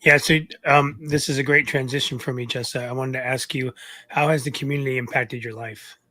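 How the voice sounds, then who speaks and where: A man's voice: medium pitch, fairly even intonation, a little fast, sharp tone. A man hosting a casual panel interview, passing questions to the guests. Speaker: host.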